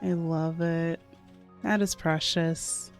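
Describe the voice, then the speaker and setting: A woman's voice: deep voice, steady tone, slow pace. A young woman giving casual live reactions as a game streamer, gushing and giggly, often murmuring along. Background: game music.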